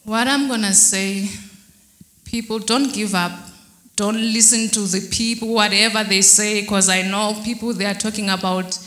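A young woman giving a church testimony into a handheld mic, halting and hesitant with fillers and restarts. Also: mid-range voice, fairly even intonation, fairly bright tone. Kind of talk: testimony interview. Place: church hall backdrop, indoors. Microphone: handheld mic held by interviewer. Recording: roomy hall sound.

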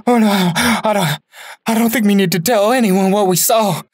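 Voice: mid-range voice, slightly rough, natural voice. An actor in an audio drama playing a spooked, stammering witness to hauntings. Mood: panicked. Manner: stammering, drawn-out, exclaiming. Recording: noisy ambient background.

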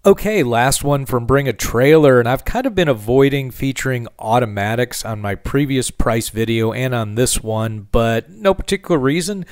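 A man hosting a car channel, talking casually over a market review with relaxed fillers. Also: medium pitch, normal speed, hardly any pauses, clean tone, dry recording, studio quality. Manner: relaxed, conversational, frequent "uh" fillers.

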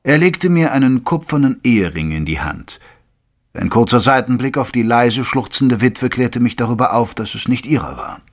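A man playing an earnest Victorian doctor who narrates a detective audio drama in a measured, literary way. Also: medium pitch, animated, natural voice. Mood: measured, grave. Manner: measured, literary storytelling delivery. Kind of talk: scripted German audio drama narration with dialogue.

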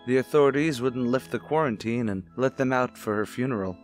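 An actor playing a stern, brooding widower in an old-time radio drama, speaking formally and deliberately. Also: male voice, medium pitch, soft background music, natural voice. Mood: grave, sorrowful. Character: stern, brooding widowed father with a morbid past.

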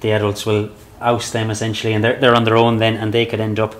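Low flat-voiced Irish man explaining. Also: fast. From Ireland. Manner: chatty, explanatory, frequent "like, you know". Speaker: barn owl expert. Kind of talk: explaining while handling birds. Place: inside a large open farm shed.

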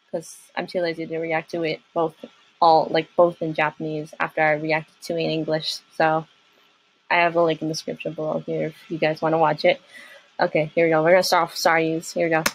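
A young adult woman chatting casually and haltingly as she hosts a reaction video about games. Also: deep voice, little pitch movement, poor audio.

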